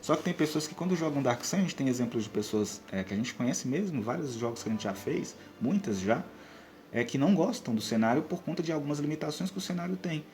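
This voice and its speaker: A man's voice: a little high, lively intonation, quick pace, a little mellow. A man with a Brazilian accent giving conversational, explanatory advice as a podcast co-host and game master. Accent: Brazilian Portuguese. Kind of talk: conversational advice on tabletop role-playing games.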